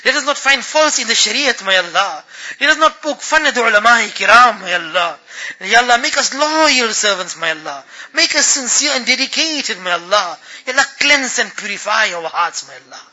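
A man delivers an impassioned religious supplication in an extremely high, intensely sharp voice. He sounds tearful and on the verge of breaking, pleading with drawn-out, repeated appeals as a prayer leader would. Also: animated, rough recording.

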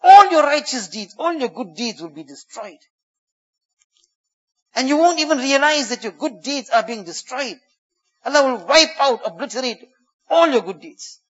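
A man preaching and telling stories, his voice rising to loud emphasis and then falling to a hush. He runs through Arabic verses in a quick chant. The recording sounds a little dull and lo-fi. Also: very high-pitched, big pitch swings. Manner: shifts between loud emphatic lines and hushed quiet ones. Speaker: Islamic preacher. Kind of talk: sermon storytelling.

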